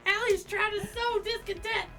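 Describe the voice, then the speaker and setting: A woman's voice: extremely high pitch, fairly even intonation, moderate pace, sharp tone. A woman talking casually as a player in a tabletop roleplaying game. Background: music.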